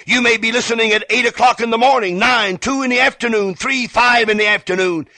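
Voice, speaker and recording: A man's voice: very high-pitched, steady tone, a little fast, pushed and bright, no room sound. A man preaching a fervent evangelistic radio sermon, emphatic and repetitive, speaking straight to the listener. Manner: emphatic, repeats phrases, addresses the listener directly.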